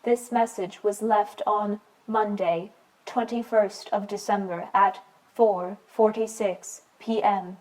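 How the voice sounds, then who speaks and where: A woman playing an impersonal announcer, reading scripted lines in a measured, deadpan way. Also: mid-range voice, lightly acted, poor audio. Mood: neutral. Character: flat, impersonal answering-machine announcer.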